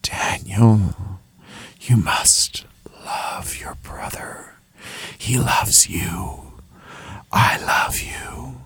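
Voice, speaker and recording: A man voicing a ghostly, solemn and tender father spirit of a mountain cavern, in a slow breathy whisper for an audiobook. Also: on the low side, exaggerated voice. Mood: solemn, loving. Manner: slow, drawn-out, gentle admonishing.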